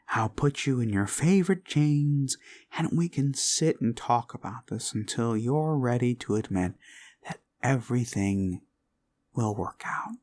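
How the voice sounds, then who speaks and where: A man playing an obsessive, controlling boyfriend in an ASMR roleplay, coaxing and condescending, often slipping into breathy whispers. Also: medium pitch, a little mellow. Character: obsessive, controlling yandere boyfriend, menacingly sweet.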